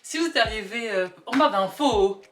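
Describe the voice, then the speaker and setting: Animated woman vlogging in an echoey room. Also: fairly high pitch, moderate pace. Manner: animated, emphatic, repeats words for effect. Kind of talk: casual comedic opinion chat to camera. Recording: echoey room, distant mic, background music.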